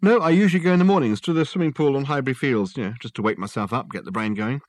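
A man's voice: mid-range voice, racing, no echo, pitched above the performer's own voice, close to an everyday voice. Guy doing an indignant nerdy programmer in a storybook, defensive, literal, dry close sound. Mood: sheepish. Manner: indignant, self-justifying. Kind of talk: audiobook character dialogue.

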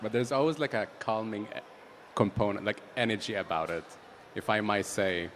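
A man with a lowish voice interviews a guest casually. He is encouraging, answers often with okay and laughs easily. Faint background noise sits behind him. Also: moderate pace, a bit bright.

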